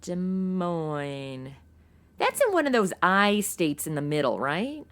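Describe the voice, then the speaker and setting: A woman's voice: on the low side, big pitch swings, slow, a bit bright, full character voice. An actress playing a breezy, scatterbrained young modern woman in a comedy audio drama, flippant and full of slang. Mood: glib, curious. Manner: flippant, slangy Valley-girl phrasing with "like" and OMG. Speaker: lead character and narrator.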